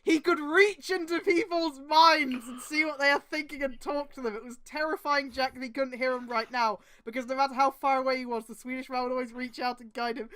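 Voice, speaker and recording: A woman's voice: sits high, fairly even intonation, a little fast, few pauses. A woman streamer reading fan fiction aloud, with casual, profane, exasperated asides and a mocking dramatic delivery. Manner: exasperated outbursts, often breaking into laughter and shouting.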